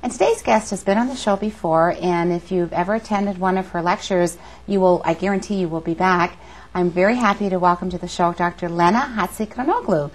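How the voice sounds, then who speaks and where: A woman's voice: fairly low pitch, fairly quick, low-quality recording. A woman hosting a talk show, introducing her guest and asking questions. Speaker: show host and interviewer. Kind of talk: interview, introducing and prompting a guest.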